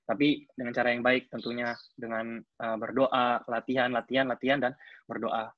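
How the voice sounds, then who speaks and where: A man's voice: mid-range voice, level tone, normal speed, no echo, muffled recording. A man in his twenties giving a casual personal account at a coaching clinic, hesitant, with frequent fillers and restarts. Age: 20s. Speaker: athlete speaking at a coaching clinic.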